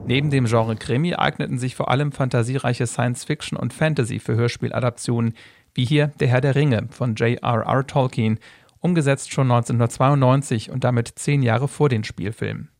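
Dry, creaky male radio narrator. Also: mid-range voice, fairly even intonation, no echo. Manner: measured, factual. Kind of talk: scripted documentary narration.

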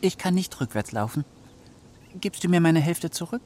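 A man's voice: fairly high pitch, lively intonation, normal speed, a little mellow. An actor playing a wily talking fox, proud of his keen nose, terse and self-assured, in a children's radio play. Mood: dry. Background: plucked string music.

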